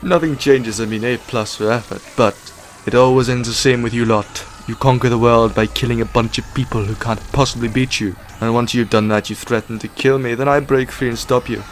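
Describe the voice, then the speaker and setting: A man's voice: medium pitch, fairly even intonation, brisk, slight background noise, natural voice. A man playing a defiant, sardonic time-travelling hero in an audio drama, taunting his captors.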